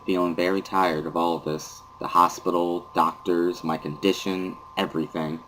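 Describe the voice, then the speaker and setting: A man's voice: deep voice, slight background noise, poor audio. A man giving a somber, weary first-person dramatic reading of a visual novel as narrator.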